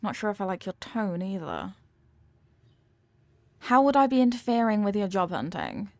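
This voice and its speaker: A woman's voice: medium pitch, big pitch swings, moderate pace, no echo. A woman acting a possessive, manipulative rich young girlfriend in an intimate audio roleplay, talking down in a coaxing, teasing way with veiled threats. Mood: cool, defensive.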